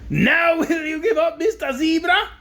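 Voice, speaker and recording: A man's voice: high-pitched, sing-song, normal speed, creaky, bright, forceful tone, voice pushed up, full character voice. A man voicing a stubborn little male crab in a storybook reading, with a mocking, obstinate manner.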